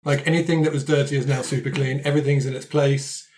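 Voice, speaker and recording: A man's voice: mid-range voice, flat delivery, quick pace, darkish tone. A man improvising casually as a player in a tabletop role-playing game.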